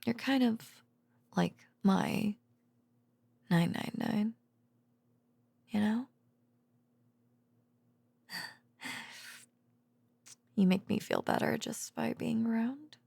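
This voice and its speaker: A woman playing an affectionate, drowsy girlfriend in an intimate bedtime audio roleplay, murmuring softly and hesitantly with drifts into whispering. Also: a little high, drawn-out words, long pauses, no echo, heavily acted. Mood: loving, earnest. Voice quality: soft, breathy murmur dipping into whispers and creak. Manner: hesitant, rambling, giggly, tender. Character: affectionate, sleepy, bashful girlfriend, a researcher home from work. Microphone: close microphone, dry.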